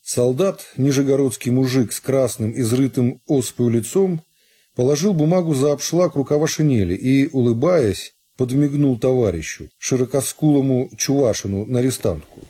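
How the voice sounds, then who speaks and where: A man reading a classic novel as a scripted radio narration, in a measured, unhurried storytelling manner. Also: medium pitch, warm tone, breathy edge. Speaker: narrator of a literary radio serial. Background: music.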